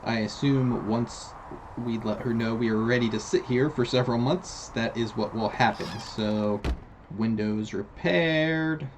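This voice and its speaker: A man giving relaxed commentary as he plays a video game, with game sound behind him. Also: mid-range voice, measured pace, noisy background. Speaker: video game let's player. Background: video game ambience.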